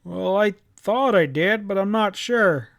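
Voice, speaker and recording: Cautious older rural judge, played by a male reader, folksy, hesitant, raised pitch, storybook. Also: unhurried, gravelly, fairly bright tone, dry recording, exaggerated voice. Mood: doubtful.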